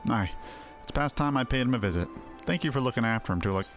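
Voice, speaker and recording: A man's voice: mid-range voice, animated, fast, natural voice. Man acting an earnest winemaker, music behind. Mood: reflective, concerned. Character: earnest male winemaker, scarred, thoughtful, excitable about vines. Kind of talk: game quest dialogue read aloud. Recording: music under the voice.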